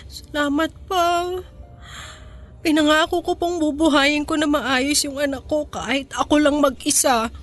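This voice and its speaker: High-voiced, emotional young Filipino daughter. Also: female voice, steady tone, a little slow, fairly bright tone, soft background music. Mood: grateful. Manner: emotional, pleading, apologetic. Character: remorseful young adult daughter, loving and vulnerable. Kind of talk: radio drama acting.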